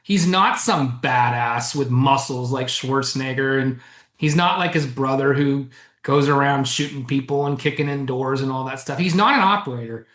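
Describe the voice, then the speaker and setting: A man, a co-author guest in an interview, who explains at length in an animated way and chuckles often. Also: medium pitch, moderate pace. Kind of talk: casual interview chat. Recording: roomy echo.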